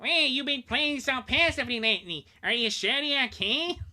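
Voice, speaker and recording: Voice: high voice, lively intonation, normal speed, pushed and bright, pitched above the performer's own voice, exaggerated voice. A streamer voicing a goofy cartoon schemer with exaggerated delivery, asking nosy questions.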